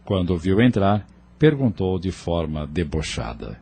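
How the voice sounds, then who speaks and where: A man's voice: low-pitched, sing-song, unhurried, vocal fry, dark tone, slightly rough. A man narrating an audio novel in a scripted, dramatic storytelling style, with faint ambient noise.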